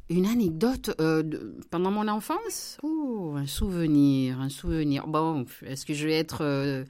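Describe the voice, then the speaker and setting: A middle-aged woman with a notably low voice. She answers interview questions about her life, hesitating often and restarting her sentences. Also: lively intonation, unhurried. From southern France. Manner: hesitant, many fillers and restarts, warm laughs. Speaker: member of parliament, interview guest. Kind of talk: relaxed personal interview.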